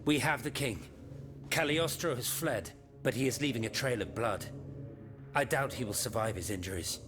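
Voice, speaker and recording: An actor playing an authoritative eighteenth-century statesman in a video game, formal and measured. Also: mid-range voice, close to an everyday voice. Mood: grave, resolute. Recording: music behind the voice.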